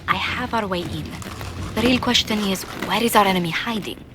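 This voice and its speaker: A woman playing a composed, formal spymaster and court advisor in a video game, speaking in a measured way. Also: medium pitch, noisy background, lightly acted. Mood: composed, secretive. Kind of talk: video game dialogue acting. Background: game music.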